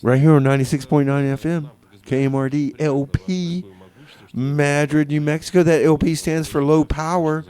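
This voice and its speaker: A man's voice: a little high, level tone, dark tone, clear, resonant tone. Rambling male community radio host. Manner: rambling, halting, repeats himself. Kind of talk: comedic community radio DJ patter between songs.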